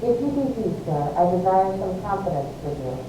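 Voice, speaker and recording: A woman playing a fussy, protective old nurse in an amateur Shakespeare reading, deliberate and scolding. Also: very animated. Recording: very echoey, distant, noisy with rumble.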